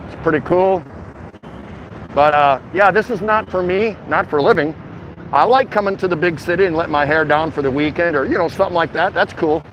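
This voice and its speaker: A middle-aged man with a slightly high voice talks off the cuff as he walks outdoors. His delivery is easygoing and slangy, like a livestreamer chatting with regulars. Light city and traffic noise runs behind him. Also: fairly quick, fairly bright tone, highs cut off. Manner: easygoing, rambling, slangy, addresses viewers by name. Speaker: vlogger. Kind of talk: casual livestream walking-tour chat. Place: outdoors, walking through a city shopping district.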